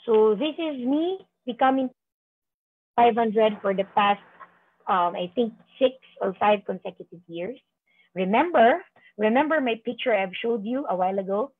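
A woman's voice: on the high side, husky, no room sound. A woman in her thirties, a business coach, giving a rousing, repetitive motivational speech on a video call. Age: 30s. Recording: tinny call audio.

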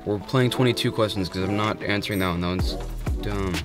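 A man's voice: lowish voice, music in the background. A young man answering quirky questions in a casual, joking way, filming himself with a phone in a parked car. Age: young adult. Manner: playful, joking, quick asides. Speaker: vlogger. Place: inside a parked car at night. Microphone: phone held at arm's length.

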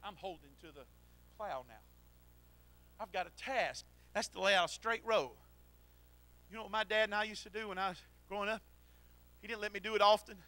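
A middle-aged man preaching a sermon into a handheld mic in a church, swinging from shouted exhortation to quiet storytelling. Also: high voice, brisk, long pauses. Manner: preaches while pacing the platform. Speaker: pastor. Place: church sanctuary.

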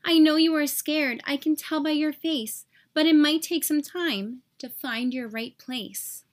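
Woman as male paintbrush, storybook figure, high voice, kind, earnest, comforting. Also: a little slow. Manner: reassuring, earnest.

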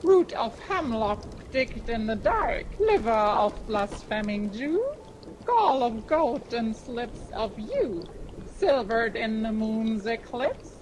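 Woman doing a slow-chanting old witch. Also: a little high, sing-song, a little mellow, a little hiss or hum, rough recording. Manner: measured listing recitation. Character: old female witch reciting a spell.

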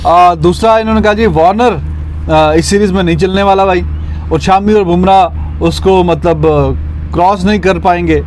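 Pakistani guy hosting a cricket chat outside, high voice, bit of background noise. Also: steady tone, normal speed, darkish tone. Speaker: host reading viewer comments. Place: outdoors at a table in a park. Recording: faint outdoor background noise.

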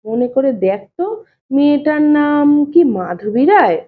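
A woman's voice: high-pitched, animated, measured pace. A woman reading an audio story as a nosy, concerned older brother-in-law, coaxing and questioning in a familiar tone. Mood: probing. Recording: thin phone-like narrow-band sound.

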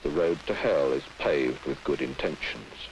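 A man interpreting into English in a measured, halting way, recorded in an old broadcast. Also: very deep voice, poor audio. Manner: measured, halting, restarts phrases. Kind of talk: live interpreting. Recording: old broadcast with faint hiss.

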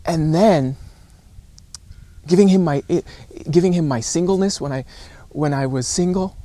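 A man in his thirties preaches informally to camera in a voice a little higher than average. He is earnest and deliberate, pausing often and repeating phrases for emphasis. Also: dark tone, dry recording. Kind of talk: informal recorded sermon to camera. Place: outdoors on a rocky shore by calm water.

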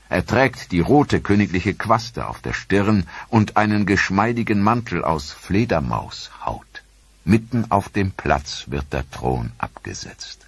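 Deep husky male documentary narrator. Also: no echo. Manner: measured, solemn storytelling.

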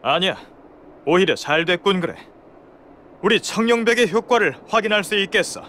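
A man's voice: high-pitched, expressive, normal speed, noisy background. A man playing a confident, commanding samurai lord in a game cutscene, with a bold, self-assured manner. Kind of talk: scripted game cutscene acting. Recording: loud music behind.

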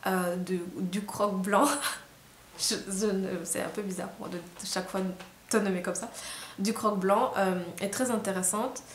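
A woman's voice: medium pitch, steady tone, normal speed. A young woman from Rwanda, talking to camera at night, tired and hesitant, with many fillers and restarts. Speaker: vlogger. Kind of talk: casual reflective monologue to camera. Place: small room indoors. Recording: roomy echo, faint hiss.

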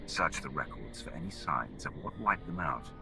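A menacing game villain, a power-hungry commander with an extremely low, gravel-edged voice. He gives orders coldly and deliberately. Music plays strongly behind him. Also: very animated, full character voice. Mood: cold, commanding. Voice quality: very deep, gravelly. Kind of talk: video game cutscene acting.

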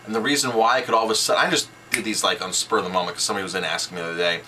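A middle-aged man speaks in a bright, forceful voice that sits a little low. He coaches informally like a seasoned owner, hammering points home with short repeated sentences. There is faint noise in the room behind him. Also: fairly even intonation, racing. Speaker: restaurant owner teaching business tips. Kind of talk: informal instructional talk to camera.

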